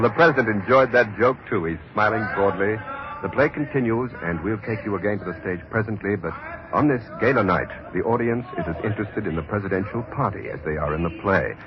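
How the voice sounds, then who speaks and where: An actor playing an earnest American radio newsman reporting live from a theater, in measured vintage commentary style. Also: low voice, close to an everyday voice. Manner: measured, clearly projected announcing. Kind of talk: 1940s-50s radio news commentary. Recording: dull, noisy vintage radio recording.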